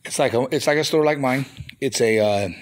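A man's voice: medium pitch, fairly quick. A man filming his toy finds on a phone and chatting about them casually, rambling with mild grumbling asides. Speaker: toy reseller showing flea market finds. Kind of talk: casual off-camera show-and-tell vlog. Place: indoors at a table of toys. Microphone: handheld phone camera.

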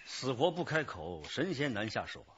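An actor playing a worried, deliberate chief of detectives in a radio drama. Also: male voice, mid-range voice, very animated, a bit bright, lo-fi sound, slight background noise. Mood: worried, pondering.

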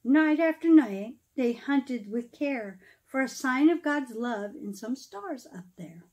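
High-voiced woman reading a children's storybook aloud. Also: animated, a little mellow. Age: middle-aged. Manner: dramatic, emphatic phrasing with pauses, explaining asides to children. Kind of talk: expressive children's storybook read-aloud in rhyme.

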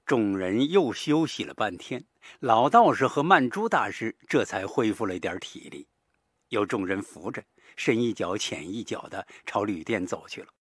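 Male audiobook narrator: lowish, husky voice, animated delivery, suited to dramatic horror mystery. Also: moderate pace, dry recording, clean studio sound.

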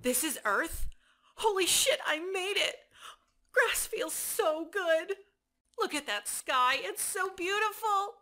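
A woman voicing an excitable, foul-mouthed young demon from hell in a comic-book cartoon, expressive and casual. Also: very high voice, takes it slowly, exaggerated voice. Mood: amazed. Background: prominent music.

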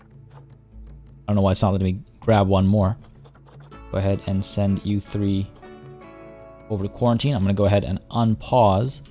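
A hoarse young man casually commenting as he plays a zoo-building game, chatty and rambling. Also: fairly low pitch, warm tone. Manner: rambling, chatty, many restarts and fillers. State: hoarse, losing his voice. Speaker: let's play host.

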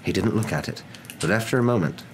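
A young man narrating a story in a soft, dramatic ASMR reading style. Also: on the low side, animated, fast, noisy background. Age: young adult.

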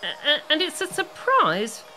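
A woman's voice: sits high, huge pitch swings, normal speed, sharp tone, slight background noise, exaggerated voice, poor audio. A woman playing a nosy, vengeful hairdresser in a comic audio play, cooing endearments with mock innocence. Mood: flustered. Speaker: actor in an amateur audio play. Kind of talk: comedic radio-play acting.

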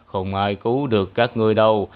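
A man voicing a giant, boastful snake monster making drawn-out, taunting threats in a children's cartoon. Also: on the low side, fairly even intonation, clear, resonant tone, narrow, tinny audio, voice pushed down, close to an everyday voice. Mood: menacing.

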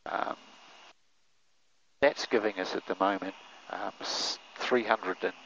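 An older man, a pilot giving relaxed cockpit commentary through a headset mic, with many fillers. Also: on the low side, slow pace, creaky, slight background noise. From New Zealand. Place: small aircraft cockpit in flight. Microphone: aviation headset boom mic. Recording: muffled intercom audio with engine drone.

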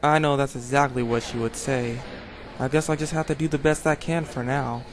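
A man's voice: medium pitch, fairly even intonation, brisk, slight background noise, lightly acted. A man voicing an easygoing, clueless, mild-mannered teenage schoolboy in an anime fan dub. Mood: mild, thoughtful. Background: background music.

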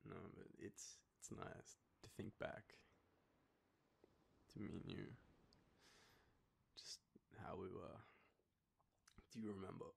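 Deep gravelly Australian boyfriend, acted by a man. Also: flat delivery, heavily acted. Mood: fond, amused. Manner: hesitant, soft chuckles, halting pauses. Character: affectionate, teasing, nostalgic young boyfriend on a date. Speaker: audio roleplay performer. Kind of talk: intimate boyfriend roleplay, spoken to the listener.

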